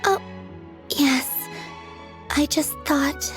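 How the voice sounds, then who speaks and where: A woman voicing a gentle, dreamy, otherworldly young woman in a video game, soft, airy and serene. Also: high-pitched, very slow, full character voice. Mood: mild, distracted. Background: music.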